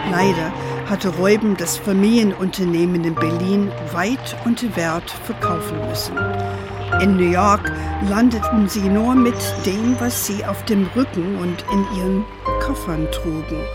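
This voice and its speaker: Actress as an unhurried old grandmother storyteller. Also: mid-range voice, close to an everyday voice. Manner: unhurried storyteller, sprinkles in English endearments. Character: gentle, wily old grandmother who tells family stories. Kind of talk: German children's radio play storytelling.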